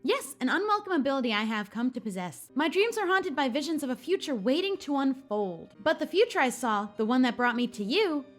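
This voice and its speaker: A high-pitched, lively countess from a story game, read out by a woman, elegant and imperious. She speaks formally and expects to be obeyed, with music playing behind her. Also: fairly quick, few pauses. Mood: composed, commanding. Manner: formal, commanding. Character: imperious, elegant countess haunted by troubling dreams.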